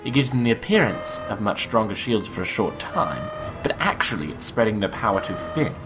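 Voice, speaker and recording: An analytical starship first officer with a fairly low voice, acted by a man. He explains things skeptically, in sci-fi audio drama fashion. Music plays strongly behind him. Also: sing-song, brisk. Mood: focused, explanatory. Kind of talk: fan audio drama acting.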